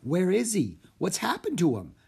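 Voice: mid-range voice, huge pitch swings, normal speed, vocal fry, mellow, slightly rough, voice pushed up, full character voice. A narrator voicing an earnest, practical young boy magician in a children's audiobook. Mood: eager questioning.

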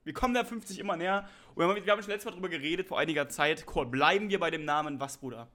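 A man's voice: a little high, rapid-fire, bright, forceful tone. A young man hosting a podcast, joking and teasing his co-host in casual chat.

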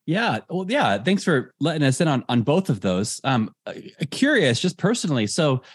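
A man's voice: a little high, sing-song, moderate pace, husky, no echo, studio quality. A man hosting a preaching podcast, reading a plain and direct event announcement and then interviewing a guest, with frequent fillers. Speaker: podcast host.

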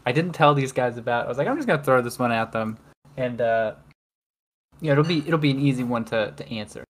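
A man's voice: medium pitch, animated, quick pace. Giggly rambling male podcast host. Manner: giggly, rambling, often restarts with laughter. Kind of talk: casual chat.

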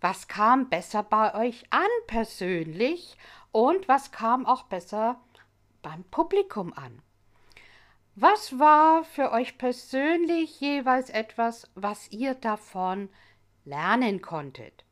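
Woman, middle-aged, first language English, unhurried and fairly high-pitched, an earnest language teacher talking through a podcast lesson. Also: big pitch swings. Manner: earnest, explanatory, asks listeners many questions.

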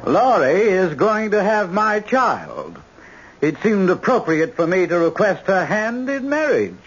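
An actor playing a smug, suave, scheming fortune hunter in an old-time radio drama, with theatrical, taunting delivery. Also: male voice, high voice, sing-song, measured pace, breathy edge, faint music behind, exaggerated voice. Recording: muffled old radio recording.

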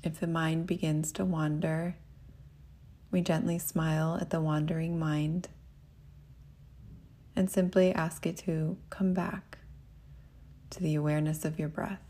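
Low-pitched woman guiding a gentle meditation. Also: flat delivery. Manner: gentle, soothing, unhurried instruction. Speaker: meditation teacher and host. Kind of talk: guided meditation.

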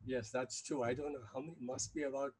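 A man, recalling things in an interview in a halting way and often correcting himself. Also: mid-range voice, steady tone, a little mellow, slightly rough. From India, with childhood years in China and the US. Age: 70s. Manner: halting, self-correcting recollection. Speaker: interview guest, activist and former journalist. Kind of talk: reflective interview conversation.

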